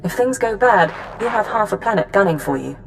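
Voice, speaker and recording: A businesslike intelligence handler briefs crisply in an even, mid-pitched voice. Faint music runs under this game cutscene. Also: female voice, brisk. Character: brisk female intelligence handler.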